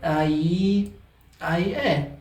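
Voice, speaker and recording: A man's voice: high-pitched, drawn-out words, a little mellow. A young man with a Brazilian accent chatting casually as an interview guest, informal, slangy and joking about himself. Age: young adult. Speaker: guest, singer-songwriter. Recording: echoey room.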